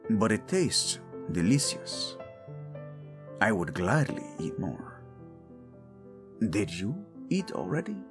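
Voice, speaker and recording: A man's voice: on the low side, full character voice. A man acting as a teasing, devoted king in an intimate romantic audio roleplay, playful and tender with his wife.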